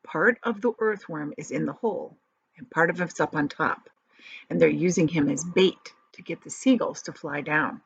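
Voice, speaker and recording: A woman teacher reading a children's book aloud and pausing to explain it. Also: low-pitched, gravelly, husky, no echo. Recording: dull, lo-fi audio.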